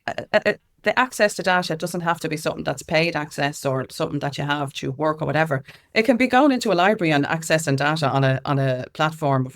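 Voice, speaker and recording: An Irish woman giving candid, informal and emphatic answers as an interview guest, speaking as an activist. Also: low voice. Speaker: guest, activist and music consultant.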